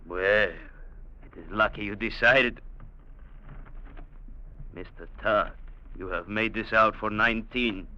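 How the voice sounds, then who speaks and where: An actor playing a bullying, menacing trading captain, on an old radio recording. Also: male voice, on the low side, sharp tone, muffled recording, heavily acted. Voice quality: slow, menacing, domineering.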